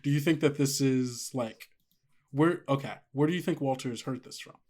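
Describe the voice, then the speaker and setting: A man's voice: mid-range voice, normal speed, darkish tone. A man running a tabletop game, improvising narration and thinking aloud in a conversational way. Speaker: game master.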